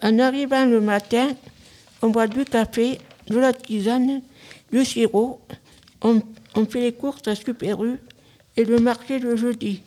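A woman's voice: on the high side, fairly even intonation, measured pace, dark tone. A woman in her fifties reading simple prepared statements aloud as a radio show participant.

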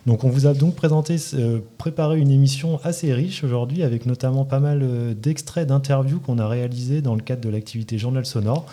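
A man with a very dark, mellow voice at a middle pitch hosts a small community radio programme. He talks in a relaxed way and pauses often with hesitations as he introduces each segment. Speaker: radio show host and care worker. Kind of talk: presenting a community radio show.

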